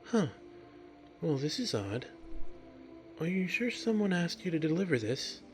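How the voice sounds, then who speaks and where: A man's voice: fairly high pitch, sing-song, unhurried, soft background music, voice pushed up. A man voicing a friendly, curious young bookish woman in a story reading, given to puzzled questions. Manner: thinks aloud in puzzled questions.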